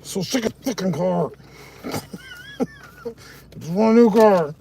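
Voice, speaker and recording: Man, gravelly voice, slightly high pitch, casual live-stream chat, swears a lot, in a car. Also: wildly swooping pitch, takes it slowly, mellow, a little hiss or hum. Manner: swears often, chats with viewers. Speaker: live streamer doing delivery driving. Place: inside a car.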